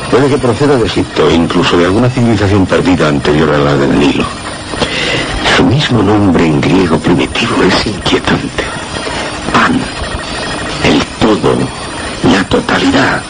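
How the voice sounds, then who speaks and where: Very deep-voiced, solemn Castilian scholar. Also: male voice, animated, noisy background. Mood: grave, ominous. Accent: Castilian Spanish. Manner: measured, didactic, solemn. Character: erudite male scholar friend, grave and explanatory. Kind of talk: Spanish radio suspense drama acting.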